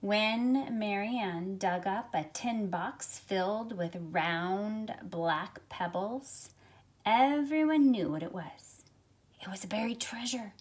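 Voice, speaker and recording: A middle-aged woman reading a picture book aloud to young listeners in an expressive, measured storytelling way. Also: mid-range voice. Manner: expressive, measured storytelling with drawn-out phrases and softened asides. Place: small carpeted room at home, indoors. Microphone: phone at arm's length.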